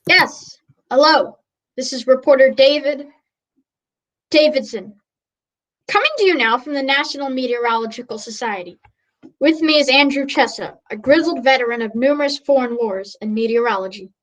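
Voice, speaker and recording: Woman playing a flustered, high-voiced radio reporter. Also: muffled recording, close to an everyday voice. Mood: flustered stalling. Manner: halting, stumbling over words. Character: flustered, bumbling radio news reporter, stalling for time. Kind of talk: mock radio news interview.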